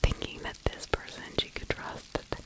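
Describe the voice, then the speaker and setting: A middle-aged woman whispering breathy true crime commentary in ASMR style, close to the microphone in halting phrases. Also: rough recording. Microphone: fluffy-covered microphone right at the mouth.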